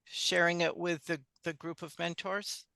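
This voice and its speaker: A woman's voice: fairly low pitch, fairly even intonation, measured pace, vocal fry, pushed and bright, no room sound. A woman hosting an online board meeting, chatty and affirming as she leads the discussion. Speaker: meeting host. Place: video call.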